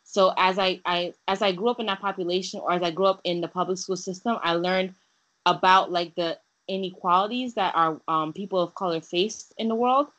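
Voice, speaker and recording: A woman's voice: fairly low pitch, fairly even intonation, brisk, a bit bright. A young woman from Haiti, a guest in a casual interview, talking earnestly with many fillers and restarts. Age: young adult.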